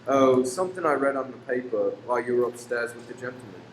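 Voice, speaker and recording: A man acts out a curious, cheerful husband in a radio drama, talking informally at a middling pitch. The room echoes, with faint noise behind. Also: animated, fast talker, natural voice. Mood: gossipy. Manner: chatty, informal. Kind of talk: radio play acting.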